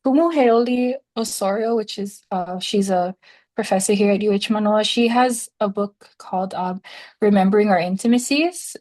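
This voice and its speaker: Female podcast guest, mid-pitched voice, hesitant and filler-heavy. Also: moderate pace, a little mellow. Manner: tentative, hesitant with many fillers. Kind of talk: conversational interview.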